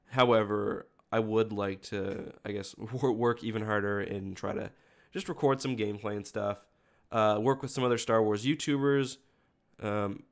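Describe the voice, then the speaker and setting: Low-voiced man with a sniffly cold. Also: clean tone. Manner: rambling, self-correcting, stop-start phrasing. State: has a cold, sniffly congested voice. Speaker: video creator hosting a livestream. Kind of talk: casual livestream chat with viewers.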